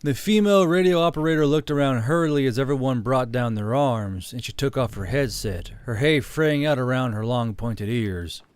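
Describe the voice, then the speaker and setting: A man's voice: mid-range voice, moderate pace, few pauses, clear, resonant tone, no echo. A man reading scripted fiction as an audiobook narrator, close to the microphone.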